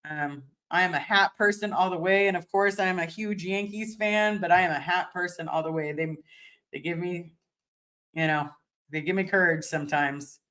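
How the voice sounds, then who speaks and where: A woman with a deep voice, slightly hoarse today, hosting a casual livestream and answering viewer chat in a rambling way, often restarting and repeating words. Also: steady tone, moderate pace, fairly bright tone.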